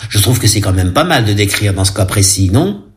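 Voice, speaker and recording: A man describes a film aloud in a chatty, ironic way, and his pitch moves a lot. He keeps breaking off to joke with his listeners. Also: very animated, a little fast. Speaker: audio describer.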